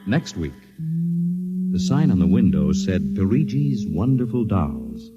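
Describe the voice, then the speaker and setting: A man's voice: low voice, sing-song, measured pace, highs cut off. A man announcing in a dramatic 1950s radio style, reading scripted lines formally. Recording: old radio broadcast over loud music.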